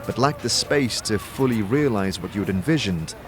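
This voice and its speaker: A man's voice: fairly low pitch, lively intonation, moderate pace, lightly acted. A man narrating a fantasy audio drama and playing its wry adventurer, in a dramatic scripted style. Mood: storytelling. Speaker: game-master narrator and player character. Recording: faint background noise.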